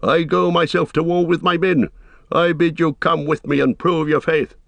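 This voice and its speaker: A man's voice: on the high side, fairly even intonation, normal speed, creaky, fairly bright tone, dry recording, pitched above the performer's own voice. An old warrior king of storybook fantasy, acted out by a male narrator, proud and unbending. He declaims formal commands in a raised, stern voice. The sound is dry, with no room around it.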